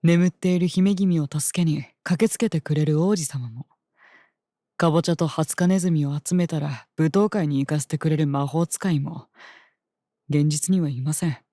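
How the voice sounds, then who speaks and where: A woman playing a composed, devoted royal attendant in an audio drama, speaking politely and formally with gentle admonishment. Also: low voice, no room sound, studio quality, lightly acted. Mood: gently chiding. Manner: polite, measured, formal speech. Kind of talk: scripted audio drama acting.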